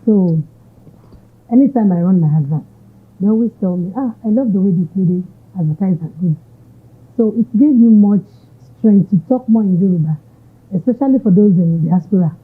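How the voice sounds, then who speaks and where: A Nigerian businesswoman talks through her story in an interview, easily slipping Yoruba phrases into her English. Her voice is husky and of middling pitch. The recording sounds thin and phone-like. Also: lively intonation, moderate pace. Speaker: entrepreneur, interviewee. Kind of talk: conversational interview answers. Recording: tinny narrow-band audio.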